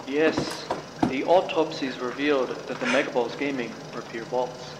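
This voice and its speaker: A man's voice: medium pitch, fairly even intonation, moderate pace, natural voice. An amateur actor playing a grave, fanatical old male scientist in a game mod, with solemn, dramatic delivery. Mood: grave, intense. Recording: echoey room, clear background machine noise.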